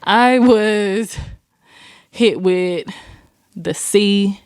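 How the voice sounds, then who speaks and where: A young woman hosting a podcast, telling stories in an animated, laughing, profane way. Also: mid-range voice, drawn-out words, smooth, no echo, clean studio sound. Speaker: podcast host. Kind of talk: casual chat.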